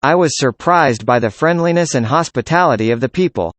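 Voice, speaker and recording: A man reading a student's part in a scripted classroom conversation, with an even, measured delivery. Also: medium pitch, no echo. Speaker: student in a dialogue.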